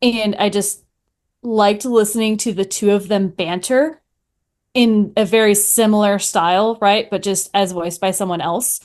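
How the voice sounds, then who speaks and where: A woman of average pitch speaks as a guest on a podcast. Her delivery is conversational and casual, working out her opinions as she talks. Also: steady tone, unhurried, darkish tone. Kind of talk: casual review chat.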